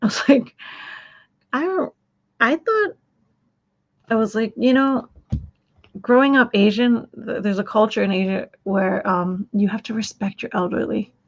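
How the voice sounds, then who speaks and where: A woman hosts a live stream, her voice heavy with gravelly fry and a husky edge. She rambles, repeating words and restarting her sentences. The stream audio is dull and lo-fi. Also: medium pitch, unhurried, long pauses. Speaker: livestream host.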